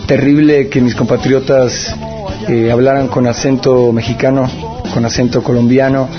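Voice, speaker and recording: A man from Ecuador, a rock musician, talks in a reflective, conversational way. Clear guitar music plays under his voice, and the audio is a little dull. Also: mid-range voice. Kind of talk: recorded interview clip.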